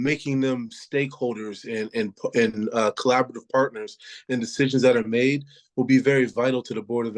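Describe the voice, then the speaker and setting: A man from Pittsburgh with a congested voice from a cold, a school board candidate answering interview questions earnestly, with many fillers. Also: mid-range voice. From Pittsburgh, Pennsylvania.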